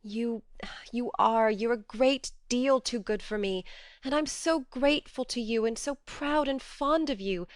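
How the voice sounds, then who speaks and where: Een vrouw als een ernstige, eigenzinnige jonge vrouw. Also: female voice, on the high side, a little slow, no room sound, studio quality, voice pushed up, close to an everyday voice. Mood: remorseful. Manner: earnest, reasoning, gently firm. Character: earnest, strong-willed young woman, remorseful.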